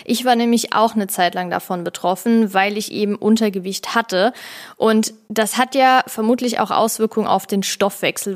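A woman hosting a podcast in a conversational style, speaking with enthusiasm and repeating words for emphasis. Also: mid-range voice. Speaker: podcast host.

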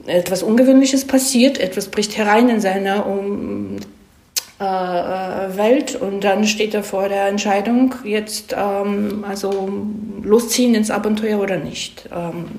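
A woman being interviewed as a screenwriter, explaining conversationally, haltingly and with digressions, often tagging questions onto her phrases. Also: medium pitch, darkish tone. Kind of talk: conversational explanation of storytelling craft. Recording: echoey room.

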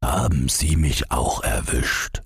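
A gruff forester , voiced by a male narrator from a storybook, feared but secretly lenient. He mutters slowly in an extremely deep, gravelly voice. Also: lively intonation, no echo, studio quality, exaggerated voice. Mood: wry musing.

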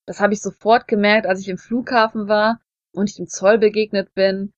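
A woman's voice: medium pitch, little pitch movement, normal speed, dry recording, muffled recording. A woman in casual podcast conversation, chatty and self-deprecating, telling personal anecdotes. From Germany. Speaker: podcast co-host.

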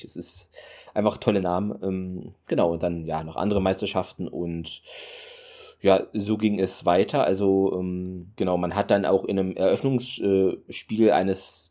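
A man's voice: low-pitched, darkish tone. A man hosting a solo football podcast, talking in a loose, rambling way with many fillers and enthusiastic asides. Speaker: podcast host.

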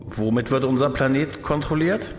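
A man playing a curious, wary adventurer in a German science-fiction audio drama, observant and deliberate in his delivery. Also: mid-range voice, natural voice. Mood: reasoning. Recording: faint background noise.

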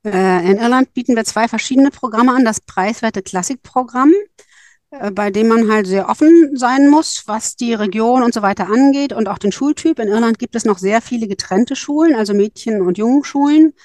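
A woman advising on school exchanges speaks at length and thinks aloud as she explains. Her voice sits at an ordinary pitch and sounds dry and close, with no room echo. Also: normal speed, a little mellow. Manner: thoughtful, explains at length with fillers. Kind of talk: informative podcast talk.

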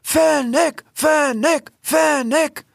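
Tiny excitable animal, extremely high, gravelly edge, animated, storybook reading, dry. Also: male voice, measured pace, no echo, full character voice. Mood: chanting, excited. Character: excitable, shrill little birds and animals of a crowd.

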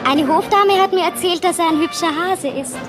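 A woman's voice: very high-pitched, moderate pace, music in the background. A woman voicing a young, spoiled hare princess who whines and asks questions, in a radio play. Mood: quengelig. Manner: quengelig, fragend. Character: junge, verwöhnte Hasenprinzessin. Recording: Hörspielausschnitt mit Musik. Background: Musik.

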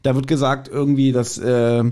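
A man co-hosts a podcast in a casual, chatty way. He has a mid-pitched voice and retells a story conversationally, dropping in little acted quotes as he goes. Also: flat delivery, normal speed, mellow. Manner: chatty, acts out short quotes. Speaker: podcast co-host. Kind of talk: casual podcast chat retelling an audio drama episode.

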